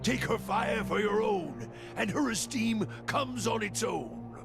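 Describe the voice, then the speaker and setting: An actor playing a bitter, fanatical older fire priest in a fantasy game, gravelly and scornful, preaching in slow pronouncements. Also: male voice, sits high, expressive, over music, heavily acted.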